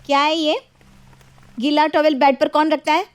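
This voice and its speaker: A woman's voice: sits high, level tone, moderate pace, dry recording, pitched above the performer's own voice, natural voice. A woman voicing a sensible, independent young wife who talks in a firm, scolding, assertive way in a story. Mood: exasperated, scolding.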